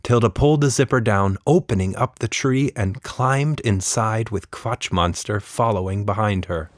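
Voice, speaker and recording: A man's voice: lowish voice, moderate pace, no echo. A man narrating a scripted children's story as the storyteller.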